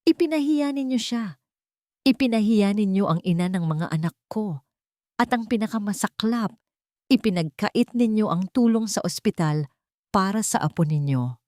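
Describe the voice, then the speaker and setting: A woman voicing a wealthy young father who speaks with forceful, barely restrained anger. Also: medium pitch, animated, normal speed, no room sound, clean studio sound, pitched above the performer's own voice, close to an everyday voice. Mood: angry. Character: wealthy young father, furious billionaire man.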